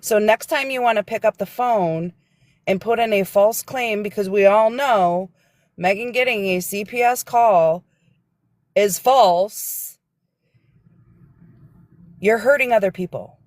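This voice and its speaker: A woman's voice: mid-range voice, takes it slowly, pauses often, no echo, clean studio sound. A woman, a guest on a livestream, speaking earnestly and lecturing, sometimes searching for her words. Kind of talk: casual livestream chat.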